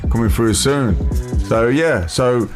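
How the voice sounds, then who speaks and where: A man's voice: medium pitch, slow pace, over music. A British man hosting a casual radio interview, chatting in a matey, slangy way with lots of check-in tags. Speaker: radio host interviewing a musician.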